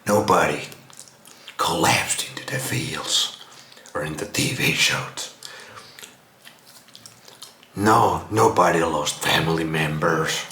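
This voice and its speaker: Man, Finnish accent, unhurried delivery, sarcastic casual vlog commentary, crude and mocking, roomy echo. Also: extremely low pitch, steady tone, sharp tone. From Finland. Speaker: vlog host. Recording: roomy echo, some background noise.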